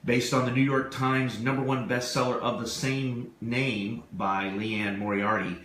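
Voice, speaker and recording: A man's voice: mid-range voice, steady tone, measured pace, a bit bright. A man co-hosting a live webcast, reading out a review for the audience. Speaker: radio show co-host. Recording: roomy echo, faint background noise.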